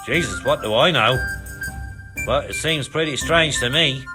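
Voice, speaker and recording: An actor playing an exasperated, hard-up medieval church painter in a video game, grumbling wryly. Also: male voice, mid-range voice, unhurried, lightly acted, poor audio. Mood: puzzled, wry. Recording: music behind the voice.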